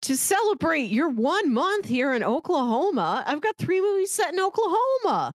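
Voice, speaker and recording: A woman's voice: high voice, very animated, moderate pace, no echo, studio quality. A woman co-hosting a casual film podcast, playfully recapping movies and acting out bits of paraphrased dialogue in an informal way. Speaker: podcast co-host pitching movies.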